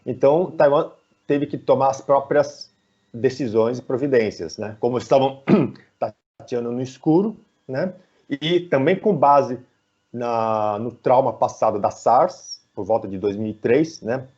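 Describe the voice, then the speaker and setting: A man with a Brazilian Portuguese accent explaining things conversationally as an interview guest, halting and often adding little tag questions, on dull online call audio. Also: medium pitch, a little mellow, husky. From São Paulo, Brazil. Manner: halting, frequently tagging phrases with "né".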